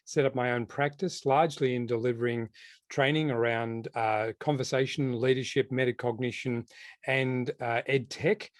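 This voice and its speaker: A man's voice: medium pitch, dry recording, clean studio sound. A middle-aged man with a New Zealand accent, an education consultant introducing himself at a conference session with jokey, self-deprecating asides. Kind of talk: conference presentation.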